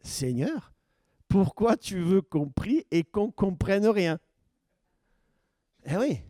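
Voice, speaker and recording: A man's voice: sits high, big pitch swings, slow, dark tone, no room sound, studio quality. A man preaching as pastor, questioning his congregation and building to emphatic points. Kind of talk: sermon teaching.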